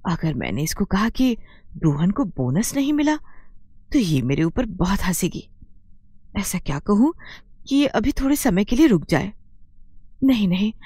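A woman voicing an envious, irritable housewife in a cartoon moral story, expressive and dramatic. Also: mid-range voice, dry recording, studio quality.

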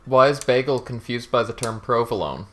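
A man's voice: mid-range voice, lively intonation, normal speed, a bit bright. A man chatting casually as he plays a co-op speedrun at a gaming desk. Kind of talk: casual chat while gaming.

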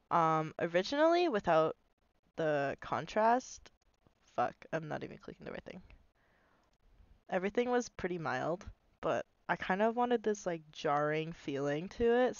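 A woman's voice: fairly low pitch, no echo, dull audio. A young woman sounding nasal from a cold, casually rambling through a walkthrough of her animation with lots of fillers. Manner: rambling, filler-heavy, self-deprecating. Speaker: animator explaining her video. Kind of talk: casual screen-recorded walkthrough.

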